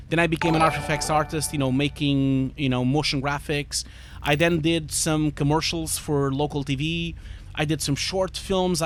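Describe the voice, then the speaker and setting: A man of about forty from Portugal with a Portuguese accent, chatting casually and ramblingly on a livestream while gaming and answering viewers. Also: on the high side. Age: 40s. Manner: rambling, easily distracted, informal with swearing. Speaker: livestream host, visual effects artist.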